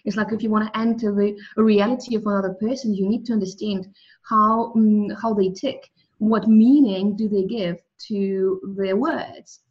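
A woman's voice: medium pitch, steady tone, normal speed, a little mellow, lo-fi sound. Woman musing on soul. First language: Russian. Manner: thoughtful, restarts often, speaks of soul and language. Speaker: guest. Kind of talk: spiritual interview conversation.

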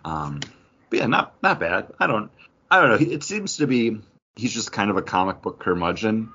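A man with a low-pitched, slightly rough and husky voice talks casually as a podcast co-host. He is opinionated and loose with swearing. The audio is a little dull and lo-fi. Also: big pitch swings, moderate pace, pushed and bright. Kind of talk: casual chat.

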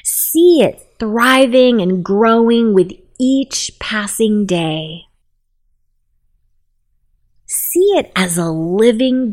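Slow, soothing woman guiding meditation. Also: on the high side. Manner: soft, soothing and unhurried. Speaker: meditation guide.

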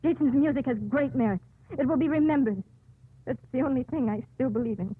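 A woman's voice: high-pitched, brisk, slightly rough, no room sound. A woman playing a proud, bitter young prisoner condemned for murder, sardonic and clipped, in a 1950s radio drama. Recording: muffled, dry 1950s radio broadcast.

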